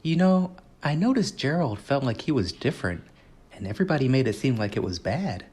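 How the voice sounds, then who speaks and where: A gentle storyteller hosting a picture book read-aloud for children. The voice swings expressively up and down in pitch, with careful, friendly enunciation aimed at young listeners. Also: very animated, a little fast, breathy edge. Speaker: storyteller and channel host.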